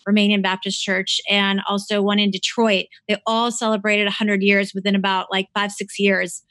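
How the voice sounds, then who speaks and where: A woman's voice: medium pitch, level tone, moderate pace, fairly bright tone, no echo. An American woman from Ohio who works as a theological librarian, speaking hesitantly with many ums in an interview. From Cleveland, Ohio, USA. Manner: hesitant, many ums and restarts, laughs easily. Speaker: guest, theological librarian and faculty member. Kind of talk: casual interview conversation.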